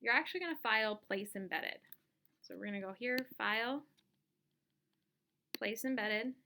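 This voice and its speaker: A woman giving a casual step-by-step software tutorial, thinking aloud as she demonstrates each action. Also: on the high side, normal speed, vocal fry, a little hiss or hum. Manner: informal, instructional, thinking aloud while demonstrating. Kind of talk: casual step-by-step screen-recorded lesson.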